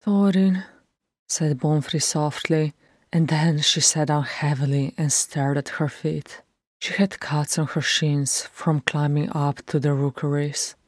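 A woman reading a story aloud in a slow, steady way. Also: deep voice, dry recording. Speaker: audiobook reader. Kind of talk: reading fan fiction aloud.

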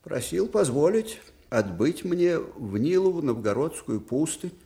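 Brooding old field marshal, creaky, swinging. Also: male voice, on the high side, big pitch swings, dark tone, heavily acted. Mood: resigned, solemn. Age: older. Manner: brooding, halting, broken phrases with sudden outbursts. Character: aged, melancholy, eccentric Russian field marshal, devout and proud. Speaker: actor in the lead part. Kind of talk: Soviet radio drama acting.

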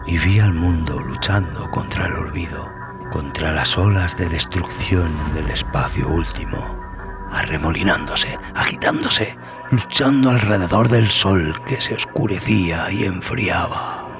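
A man with a very deep voice delivers scripted horror narration. He is solemn and deliberate, leaving dramatic pauses between phrases. Music plays clearly behind the voice. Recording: voice over prominent background music.

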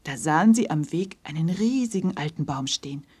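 A woman narrates a scripted children's story. Her voice sits a little low and is very husky and breathy. She speaks as the storyteller of an audio play. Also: animated, brisk, a little mellow.

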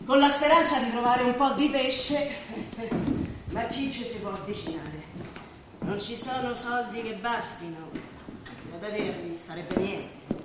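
A woman's voice: fairly high pitch, slow pace. A woman acting on stage as a weary, bossy ageing Roman housewife who grumbles and bustles through her chores. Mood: weary grumbling. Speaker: stage actor. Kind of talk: theatrical stage drama dialogue. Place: theatre stage, recorded from afar. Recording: echoey stage, distant mic, noisy.

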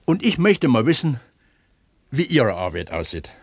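An actor playing a down-to-earth, contented mine pump attendant, unhurried and colloquial, in a radio play. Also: male voice, medium pitch, sing-song, creaky, husky, exaggerated voice. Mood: matter-of-fact. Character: plain-spoken, contented male mine pump attendant who writes. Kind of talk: 1970s East German radio play acting.

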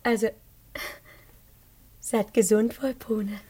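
An actress playing a pious, prim, fretful wife in a classic German radio play, fluttery and theatrical. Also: female voice, a little high, steady tone, takes it slowly, dark tone, husky. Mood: doubtful, demure.

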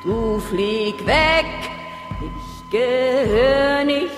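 A woman's voice: high-pitched, very slow. A woman singing a mournful ballad over music. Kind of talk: slow sung ballad, lament.

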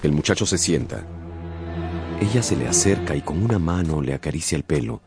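Male narrator, deep bass voice, dramatic radio storytelling, theatrical, highly animated intonation, music underneath. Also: a little fast, vocal fry.

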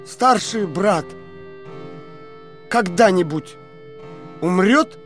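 A halting, high-voiced male prisoner. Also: lively intonation, a bit bright, faint music behind, full character voice. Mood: weary, questioning. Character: weakened male prisoner, questioning, stubbornly clinging to reason. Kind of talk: dramatic audio play acting.

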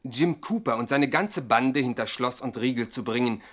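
A man's voice: medium pitch, moderate pace. A male narrator with a mid-range voice reads for a satirical radio play. He is dry and mock-formal, with carefully scripted phrasing. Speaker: second narrator.

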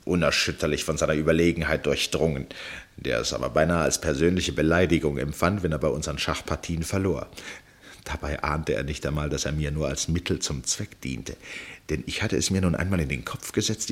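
An actor in a German radio play as a wry, detached gentleman narrator, speaking in a measured, ironic, cultivated way. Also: rumbling low, heavily acted.

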